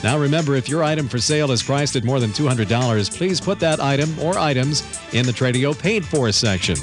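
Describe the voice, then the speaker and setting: A man's voice: medium pitch, animated, fairly quick. A man reading a recorded radio promo in a plain, instructive way. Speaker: radio announcer. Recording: promo with loud guitar music bed. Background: guitar music.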